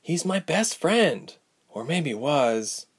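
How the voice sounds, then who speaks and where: Storybook role: a man doing an injured young woman, soft and strained, voice pushed well up. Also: fairly high pitch, sing-song, takes it slowly, breathy edge, voice pushed up, heavily acted. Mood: subdued. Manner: strained, quiet, pained. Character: wounded young woman, tired and guarded.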